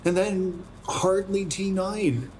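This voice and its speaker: An American man playing a weary, bluntly honest friend in his twenties in a naturalistic radio play, with measured delivery. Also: sits high, darkish tone, slight background noise. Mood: nostalgic. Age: young adult. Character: resigned 27-year-old male hometown friend, weary and bluntly honest.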